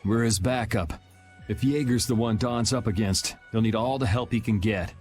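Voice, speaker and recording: An actor playing a self-assured young adventurer in a video game, with a dry, offhand, decisive delivery. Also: male voice, fairly low pitch, moderate pace, a little mellow, lightly acted. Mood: cool, decisive. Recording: music under the voice.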